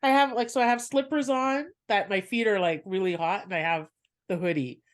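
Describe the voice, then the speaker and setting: A woman's voice: mid-range voice, fairly quick. A woman hosting a podcast, chatting casually with a guest, laughing often and rambling through asides. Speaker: podcast host and comedian.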